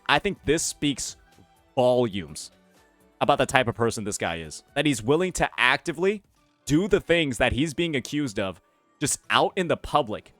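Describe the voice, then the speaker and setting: A man's voice: mid-range voice, a bit bright, dry recording. Heated, profane male reaction streamer. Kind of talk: angry reaction commentary.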